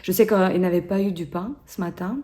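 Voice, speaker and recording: A woman in her thirties with a Ukrainian accent, answering interview questions haltingly, with many fillers and restarts. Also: fairly low pitch, fairly even intonation, mellow.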